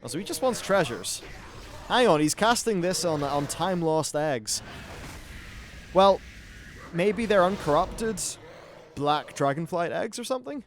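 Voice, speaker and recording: Man, higher-set voice, casual game commentary, wry asides, sweary. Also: expressive, a little slow, pauses often, slight background noise. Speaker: gaming video host.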